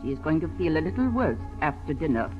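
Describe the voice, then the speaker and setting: An actress playing a cold, controlling older mother with a measured, commanding manner in a 1940s radio drama. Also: sits low, very animated. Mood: cold, scheming. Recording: dull vintage radio recording with music.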